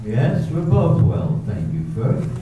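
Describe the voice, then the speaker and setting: A man's voice: mid-range voice, big pitch swings, takes it slowly, muffled recording. A man playing a genial, commanding master of a country estate in a staged radio drama. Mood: breezy. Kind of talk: readers-theater radio drama acting. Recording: very echoey, distant, faintly noisy.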